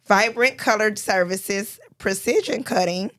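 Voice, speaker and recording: A woman's voice: medium pitch, a bit bright. A woman hosting a casual salon-industry podcast. She chats easily, laughs often and stumbles a little over read-out introductions. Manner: chatty, laughs often, reads introductions haltingly. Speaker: podcast host. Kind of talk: casual chat.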